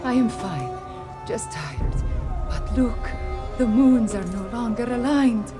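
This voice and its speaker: A woman voicing a weary, regal cat-folk warrior queen in a video game, speaking formally and earnestly in measured phrases. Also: on the high side, animated. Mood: earnest, solemn. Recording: game music behind the voice.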